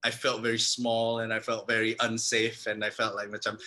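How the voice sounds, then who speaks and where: Bright-voiced man with a Malaysian American accent. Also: on the low side, completely flat delivery. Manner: reflective, halting with frequent restarts. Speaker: guest. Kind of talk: casual podcast conversation.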